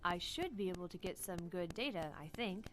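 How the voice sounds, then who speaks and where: Composed young scientist woman, lively pitch as read aloud. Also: fairly quick, music in the background, close to an everyday voice. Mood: composed, analytical. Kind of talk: visual novel read-aloud.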